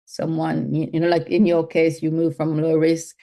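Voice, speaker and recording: A woman's voice: sits low, monotone, moderate pace, gravelly, dark tone. A middle-aged woman from Mauritius, a guest on an interview, answering thoughtfully with frequent fillers and restarts. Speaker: guest, health tech CEO.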